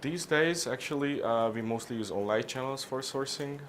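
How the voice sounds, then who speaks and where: A man from Hungary, answering business questions in a measured, halting way, often restarting his phrases. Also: medium pitch, fairly bright tone, slight background noise. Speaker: interviewee, business consultant. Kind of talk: on-camera business interview.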